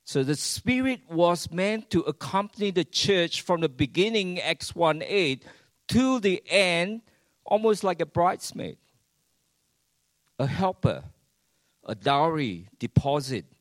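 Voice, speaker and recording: Slow-preaching man. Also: fairly high pitch, sing-song, no echo. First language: Chinese. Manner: dramatic, swings between hushed and loud, rhetorical questions to the congregation. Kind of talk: sermon.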